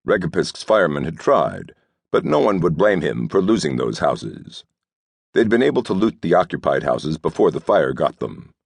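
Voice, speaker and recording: A man narrating an audiobook novel in a scripted storytelling style, with a gravelly voice. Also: extremely low pitch, sing-song, normal speed, no room sound.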